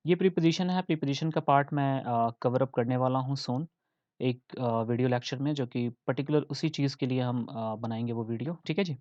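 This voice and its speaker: A young man with an Indian accent gives an English lesson, mixing in Hindi. He sounds chatty and mid-pitched, often checking in with the listeners. The recording is dry and slightly muffled. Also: normal speed, a little mellow, no echo. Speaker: English teacher.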